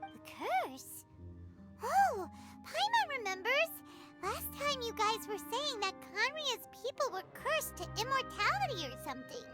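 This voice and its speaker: A woman voicing a tiny, childlike girl fairy sidekick in a video game, chirpy, naive and excitable, with a squeaky voice. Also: unhurried, heavily acted. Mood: surprised, eager. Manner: refers to self in third person, exclamatory. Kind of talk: video game character dialogue. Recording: game audio with prominent music. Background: loud game music.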